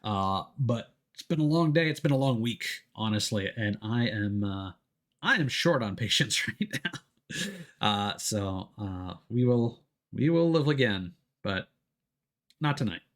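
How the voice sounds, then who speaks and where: A man talking casually to his stream viewers as a game streamer, rambling hesitantly with frequent ums and pauses. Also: lowish voice, lively intonation. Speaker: video game livestreamer.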